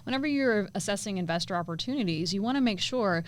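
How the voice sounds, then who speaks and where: A woman's voice: medium pitch, animated, quick pace, dry recording. A woman being interviewed as a business founder, talking energetically with many fillers and restarts. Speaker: guest, business founder and CEO. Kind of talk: conversational interview.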